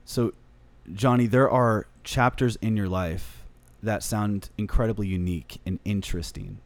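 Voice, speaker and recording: A man hosting an interview in a cigar lounge, enthusiastic and quick to praise. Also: lowish voice, a little mellow. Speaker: podcast host.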